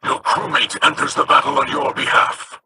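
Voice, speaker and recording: An actor voicing a weary alien pirate elder in a video game, speaking solemnly. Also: male voice, normal speed, noisy background, close to an everyday voice. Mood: grave. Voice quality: solemn, gravelly.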